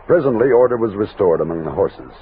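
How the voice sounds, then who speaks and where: An actor playing a steady army captain who narrates and gives firm orders in a 1960s Western radio drama. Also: male voice, lowish voice, fast, lightly acted. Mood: measured, reflective. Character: steady commanding army captain leading a frontier expedition. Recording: thin lo-fi old radio recording, hiss.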